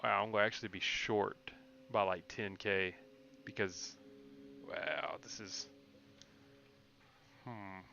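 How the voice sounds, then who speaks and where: Deep-voiced man casually commenting on gameplay. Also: steady tone, takes it slowly, creaky, long pauses, bright, forceful tone, highs cut off, a little hiss or hum. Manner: informal, slangy, thinking aloud. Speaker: game streamer.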